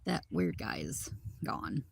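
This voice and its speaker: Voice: slow, slight background noise. A co-host on a live stream who speaks briefly and plainly, mostly reading out viewer comments. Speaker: co-host relaying chat comments. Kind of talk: casual live-stream chat.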